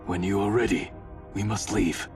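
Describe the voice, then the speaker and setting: A stern, commanding guardian from a video game, acted by a man. His voice is low and his words are formal and measured, with music clearly behind him. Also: fairly even intonation, natural voice. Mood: grave. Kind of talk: video game cutscene acting. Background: cinematic music.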